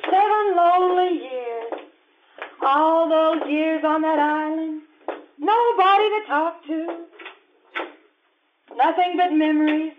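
Woman acting a very high-pitched determined wife. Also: slow pace, pauses often, noisy background. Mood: wistful, reproachful. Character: determined, sharp-tongued wife back from an island. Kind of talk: 1950s screwball comedy radio acting on stage. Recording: muffled live stage audio.

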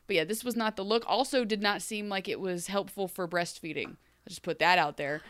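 A woman from Ohio co-hosting a podcast, chatting casually and animatedly, her voice still a little deep after a cold. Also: a little fast, clean tone, no echo. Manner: animated, acts out quoted voices, laughs often. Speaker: podcast co-host. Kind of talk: casual chat.

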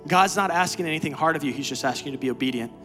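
A male pastor preaching in a church, rising from hushed, low lines to loud, climbing shouts of exhortation. His voice sits a little high for a man, and soft music plays under him. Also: rapid-fire. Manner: builds to loud, high-pitched preaching then drops low and quiet. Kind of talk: sermon. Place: church sanctuary.

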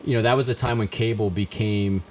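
Middle-aged male guest from Indiana, very deep and flat voice, rambling and repetitive, faint hiss. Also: a little fast. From Indiana, USA. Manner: rambling, repeats words while thinking. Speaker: guest, high school principal. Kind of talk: casual interview.

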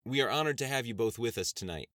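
A man's voice: mid-range voice, expressive, fast, dry recording, studio quality, pitched above the performer's own voice, lightly acted. A man voicing a female television talk show host with a brassy, announcing delivery in an audiobook. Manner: bright, announcing broadcast delivery.

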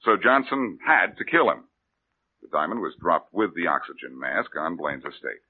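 A wisecracking radio detective. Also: male voice, normal speed, slight background noise. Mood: cocky. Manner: breezy, joking, lively pitch. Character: wisecracking male detective, cool and cocky. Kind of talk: 1940s radio acting. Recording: narrow phone-like old radio broadcast.

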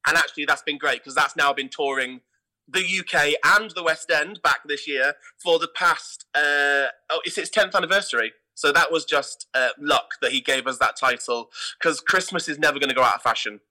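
A middle-aged man, telling stories casually as an interview guest, in an animated way. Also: fairly high pitch, moderate pace, rough recording. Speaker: theatre artistic director, podcast guest.

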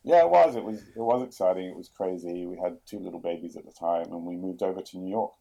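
A middle-aged man with a London accent, talking through his career in a podcast interview, often pausing with fillers and restarting sentences. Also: low voice, creaky, warm tone, muffled recording. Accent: London English. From England. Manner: hesitant, many fillers and restarts. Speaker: guest, product executive.

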